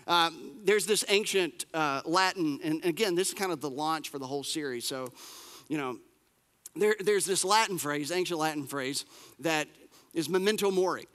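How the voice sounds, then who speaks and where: Bright-voiced preacher in his forties. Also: fairly high pitch, normal speed, in a large hall. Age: 40s. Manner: lively, emphatic preaching with repetition and asides. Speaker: pastor. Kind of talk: sermon.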